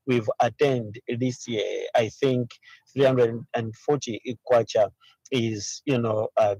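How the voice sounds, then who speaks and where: A man with a Zambian accent giving an expert interview comment, halting often with filled pauses. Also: medium pitch, darkish tone, no echo, rough recording. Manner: halting, with frequent "you know" and filled pauses. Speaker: agriculture expert.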